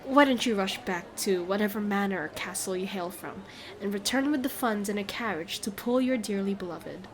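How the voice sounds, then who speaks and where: A woman's voice: medium pitch, moderate pace, slight background noise, close to an everyday voice. Woman playing a glib, smug fantasy merchant woman. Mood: smug, wheedling. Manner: glib, theatrical sales patter. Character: smug, haggling female merchant selling a mermaid. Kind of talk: scripted fantasy audio roleplay.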